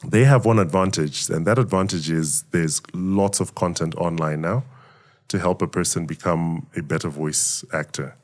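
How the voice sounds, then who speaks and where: A middle-aged man from Zambia, a voice actor giving thoughtful interview answers in a measured, reflective way. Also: sits low. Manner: measured, reflective, speaks of faith and gratitude. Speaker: voice actor and emcee, guest.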